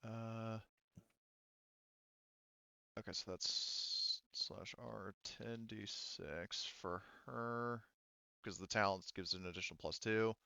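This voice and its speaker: A man narrates a tabletop roleplaying session as game master. His voice is clear and his delivery is casual, with halting explanations. The sound is dry and slightly dulled. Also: fairly low pitch, fairly even intonation, sharp tone, no room sound, lo-fi sound.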